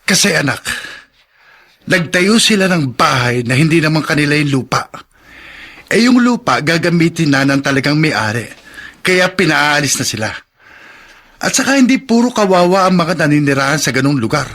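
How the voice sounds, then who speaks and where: A man's voice: a little high, big pitch swings, moderate pace, a bit bright, full character voice. A man playing a bitter father set on revenge, in a radio drama. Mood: harsh.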